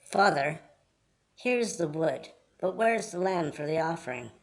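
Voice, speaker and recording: A man's voice: a little high, expressive, moderate pace, gravelly. Man voicing Isaac, a trusting young son. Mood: puzzled. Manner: earnest, questioning.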